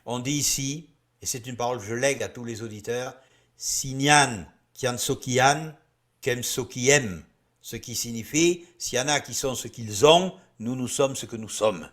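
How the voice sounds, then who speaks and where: A man with a mid-pitched voice speaks as a guest on a talk show. His delivery is earnest and insistent, like someone issuing a serious warning. His is Gascon. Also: unhurried, fairly bright tone. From Gascony, southwest France.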